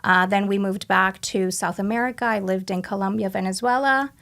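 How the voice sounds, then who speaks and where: Forties woman from argentina, spanish first language, talks with lots of ums, bit of vocal fry. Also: medium pitch, fairly bright tone. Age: 40s. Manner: halting, lots of ums and uhs, light laughs. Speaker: guest, patient. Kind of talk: casual interview chat.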